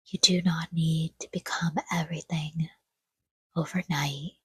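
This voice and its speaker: A woman softly guiding a sleep meditation in a hushed, breathy whisper, with gentle, soothing delivery in short phrases and long pauses. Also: sits low, flat delivery, dry recording. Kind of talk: guided sleep meditation, scripted, soft-spoken.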